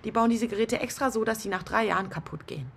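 An actress playing a middle-aged, suspicious and easily offended wife who needles and accuses in a radio drama. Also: female voice, on the high side, fast, gravelly, fairly bright tone, a little hiss or hum. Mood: suspicious, annoyed. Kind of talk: naturalistic radio drama acting.

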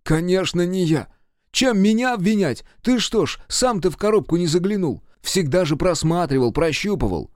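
A man's voice: on the high side, animated, unhurried, few pauses, mellow, no room sound, studio quality, pitched above the performer's own voice. A man voicing a panicky, whiny young grandson who pleads and complains in a comic audiobook. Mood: aggrieved. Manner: pleading, exclamatory, aggrieved.

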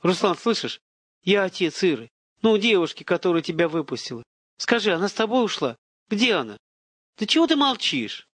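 A man's voice: high voice, big pitch swings, takes it slowly, few pauses, a little mellow, breathy edge, dry recording, voice pushed up, exaggerated voice. A man voicing a desperate father searching for his missing daughter, imploring and flustered, near tears, in an audiobook. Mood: anxious urgent.

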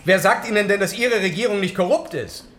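An actor playing a self-assured, argumentative corporate manager in a modern radio drama. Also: male voice, sits high, a little fast, fairly bright tone. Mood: insistent persuasion. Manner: insistent, rhetorical, argumentative.

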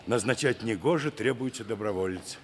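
An actor playing a steadfast, principled wartime commissar, speaking resolutely and deliberately in a 1950s Soviet radio drama. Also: male voice, on the low side, slight background noise, natural voice.

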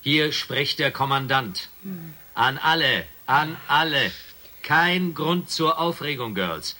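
An actor playing a brisk spaceship commander in a science-fiction radio drama, giving clipped orders and announcements. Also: male voice, a little high, animated, pushed and bright, full character voice. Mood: authoritative.

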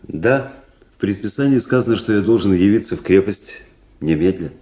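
An actor playing a proud, cold, world-weary young officer in a radio play, speaking in a measured, reflective, formal manner. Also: male voice, on the low side, lively intonation, warm tone, husky. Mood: cold, curt. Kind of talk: radio play acting, literary narration.

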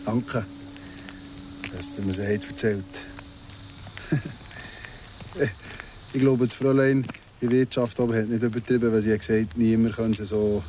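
A man's voice: on the low side, steady tone, unhurried, long pauses, warm tone, a little hiss or hum, lightly acted. An actor playing a needling, falsely courteous ex-convict in his thirties in a radio drama, speaking with a Swiss German accent, mock-polite and insistent. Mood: feigned curiosity. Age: 30s.

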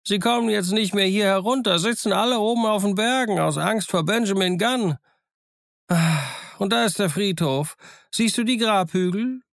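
A man's voice: high voice, moderate pace, creaky, fairly bright tone, no echo, studio quality, voice pushed up. A man voicing an eccentric old marooned sailor who rambles on insistently. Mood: chattering. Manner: rambling, insistent, winking confidentially.

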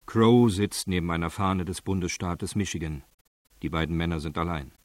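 A man narrates a scripted crime drama. His voice is low and recorded close and dry. He sets each scene in a factual, reporting manner. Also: steady tone, normal speed, dry recording.

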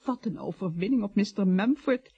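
An actress playing a loyal, efficient office secretary in a radio comedy, coaxing and expressive. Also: female voice, mid-range voice, normal speed, breathy edge, dry recording, highs cut off. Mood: admiring, coaxing. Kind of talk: radio comedy drama acting.

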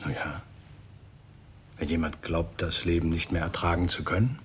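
A man's voice: sits low, level tone, moderate pace, slight background noise, close to an everyday voice. A man playing a reflective, wry writer who narrates a radio crime drama and speaks somewhat tentatively. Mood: doubtful, hesitant. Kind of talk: 1960s German radio drama acting and narration.

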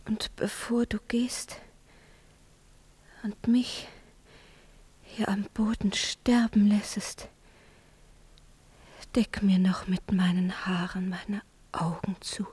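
An actress playing a dying, resigned woman in a verse radio drama, her weak voice fading into breathy whispers. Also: mid-range voice, a little mellow, full character voice. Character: dying woman, faint and resigned, farewelling a companion.

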